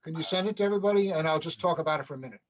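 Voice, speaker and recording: A man with a slightly high voice explains things informally to colleagues in an online meeting. The call audio is dull and lo-fi. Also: rapid-fire.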